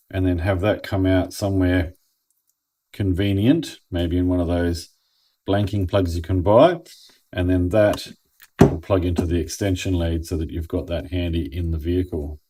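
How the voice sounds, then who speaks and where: A man's voice: low-pitched, fairly even intonation, measured pace, mellow, husky. An Australian man giving a casual hands-on product review in a laid-back, plain-spoken way, as if thinking aloud. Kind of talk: casual unboxing and review commentary.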